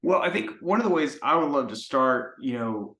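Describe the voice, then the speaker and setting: A man's voice: medium pitch, lively intonation, normal speed, fairly bright tone. Rambling male podcast co-host, roomy sound. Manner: rambling, hesitant, searching for words. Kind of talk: casual interview chat. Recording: roomy echo.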